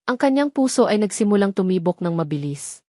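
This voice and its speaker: A female storyteller reads a scripted romantic drama. Her delivery is deliberate and emotive, with an ordinary mid-range pitch. The sound is dry, with very little room in it. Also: sing-song, dark tone, dry recording. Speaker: audio story narrator.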